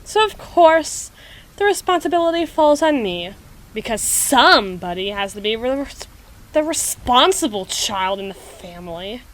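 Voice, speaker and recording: Woman playing proud anxious schoolgirl, highish pitch, lively intonation, formal wording, halting delivery, audio roleplay. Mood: prim, resigned. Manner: halting, prim, formal phrasing with trailing restarts. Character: flustered tsundere schoolgirl, proud, dutiful, anxious. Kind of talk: ASMR audio roleplay monologue.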